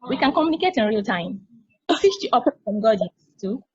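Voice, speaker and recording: Knowing younger-sister machine, female reader; high, slightly rough voice, casual wry explaining, dull dry recording. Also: big pitch swings, fairly quick, dry recording. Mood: brisk, wry. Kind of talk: amateur table read of a stage play.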